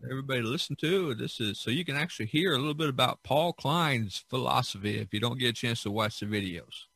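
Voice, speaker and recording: Guy hosting a podcast, real dry sound, bright voice, chatty, keeps repeating words. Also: mid-range voice, quick pace, gravelly, husky, no echo. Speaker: podcast host. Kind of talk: casual podcast introduction.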